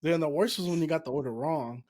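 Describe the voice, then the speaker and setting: A man from California whose first language is Spanish. He is a comedian on a podcast, telling stories from his childhood in a casual chat, and his voice sits a little high. He swears casually as he goes. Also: fast talker, darkish tone. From California, USA. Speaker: comedian guest.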